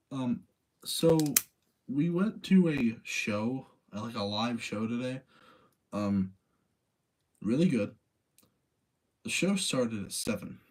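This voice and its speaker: A man's voice: mid-range voice, darkish tone, a little hiss or hum. A man hosting a livestream, chatting casually in an apologetic, rambling way. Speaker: livestream host.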